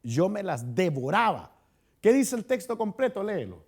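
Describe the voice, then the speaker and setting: Chilean male pastor, higher gravelly voice, loose chatty Bible-study delivery. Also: big pitch swings, normal speed, a little mellow. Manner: chatty, asks the congregation questions, frequent restarts. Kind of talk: conversational Bible study teaching. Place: church hall.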